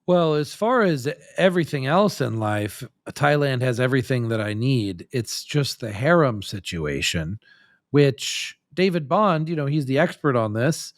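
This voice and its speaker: A man's voice: mid-range voice, big pitch swings, unhurried, dry recording, clean studio sound. A man talking casually and frankly as a podcast guest, in a loose, rambling way. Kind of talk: casual candid chat.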